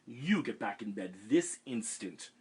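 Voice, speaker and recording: A man voicing a fussing mother in a storybook, high and alarmed, then stern. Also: very animated, unhurried, darkish tone, husky, exaggerated voice.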